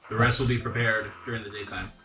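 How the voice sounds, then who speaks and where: A man runs a tabletop fantasy game as game master. His voice is low and bright with a forceful edge, recorded in a roomy space over background music. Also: fairly even intonation, fast talker. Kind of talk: narrating a tabletop roleplaying game.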